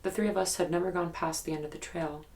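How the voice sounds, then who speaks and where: Casting: female actor for guarded young woman in investigative audio drama, very low register, fry-heavy, hesitant naturalistic storyteller carrying a haunted memory. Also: steady tone, a little mellow, lightly acted. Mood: reflective. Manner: hesitant, reflective storytelling with pauses. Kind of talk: naturalistic documentary-style audio drama interview.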